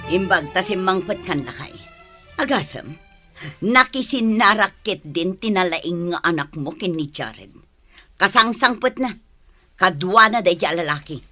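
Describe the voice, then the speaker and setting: A woman's voice: lowish voice, lively intonation, unhurried, bright, forceful tone, faint music behind, heavily acted. A woman playing a strict, suspicious, nagging mother in a comedy drama, with a northern Philippine accent. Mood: indignant complaining. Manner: nagging, exclaiming, interrogating. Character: scolding, suspicious, strict mother of grown daughters. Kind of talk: amateur comedy drama acting.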